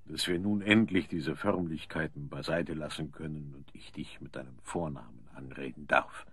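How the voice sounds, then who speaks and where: A genteel, calculating landowner in a radio play. His voice sits very low and has a husky grain, and he chooses his formal words with deliberate hesitation. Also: a bit bright, dry recording, full character voice. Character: genteel, calculating wealthy landowner and future father-in-law.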